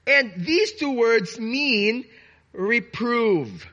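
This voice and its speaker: A man preaching a sermon to his congregation, earnest and deliberate, with frequent restarts, drawing out key words. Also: extremely high pitch, animated, pushed and bright, muffled recording. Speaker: pastor.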